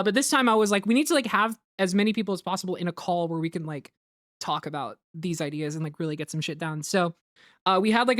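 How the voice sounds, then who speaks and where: A woman's voice: mid-range voice, dry recording. Woman rambling casually, close dry sound. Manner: rambling, peppered with "like" and swearing. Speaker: host of a group commentary on a fan dub. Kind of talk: casual chat, explaining production process.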